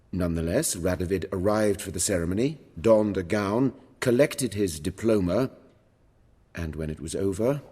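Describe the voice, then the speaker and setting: A jaded, proud surgeon and scholar, with a low, husky voice. He talks formally and dryly in measured sentences. The game dialogue rings with long reverb. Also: a little mellow. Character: stern, learned male surgeon and professor, jaded, proud. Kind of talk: video game voice acting.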